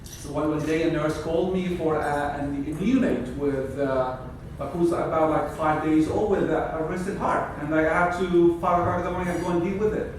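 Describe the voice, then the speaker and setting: A man's voice: fairly high pitch, fairly even intonation, normal speed, hardly any pauses. A man in his thirties from Syria, a native Arabic speaker with a Syrian Arabic accent, giving a hesitant graduation speech at a podium in a wood-paneled hall, picked up by a far camera. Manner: hesitant, searching for words, many restarts. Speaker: graduating surgical resident. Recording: echoey hall from far, noisy.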